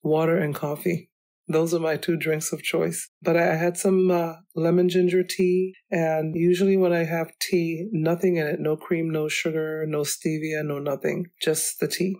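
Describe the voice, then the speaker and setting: A woman hosting her own podcast, talking alone in a chatty, rambling way, her voice still slightly hoarse from illness. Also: deep voice, level tone, normal speed, darkish tone. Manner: chatty, rambling, goes off on tangents. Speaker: podcast host. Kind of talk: solo podcast monologue. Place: home.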